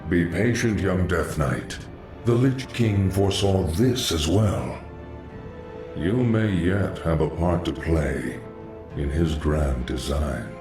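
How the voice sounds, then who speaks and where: Echoing, hollow undead lich. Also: male voice, low-pitched, over music. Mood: patient, knowing. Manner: measured, solemn. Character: undead lich sorcerer, scholarly, patient, sinister servant. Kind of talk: dramatic video game acting. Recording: echoing, distant sound.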